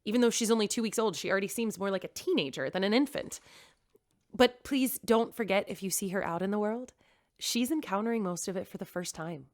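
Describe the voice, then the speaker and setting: A woman's voice: mid-range voice, a little fast, darkish tone, lightly acted. A woman plays a computerized shop owner who has just become a mother, speaking in a recorded message to a whole ship. Her voice sits in the middle of the range, and she explains herself in an earnest, slightly nervous, rambling way. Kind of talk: audio drama acting, recorded message.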